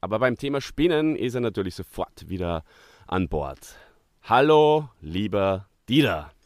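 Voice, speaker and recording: Low-voiced man hosting, with a cold. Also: very animated, a little slow, darkish tone, no echo, clean studio sound. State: has a cold. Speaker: podcast host. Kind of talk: casual podcast chat.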